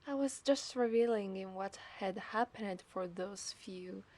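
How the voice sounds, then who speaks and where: A woman's voice: medium pitch, a little mellow, natural voice. A woman with an Italian accent playing an obsessive, seductive mermaid in a teasing ASMR roleplay, sometimes slipping into whispers. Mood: teasing, gleeful. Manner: slow, teasing, lapsing into whispers.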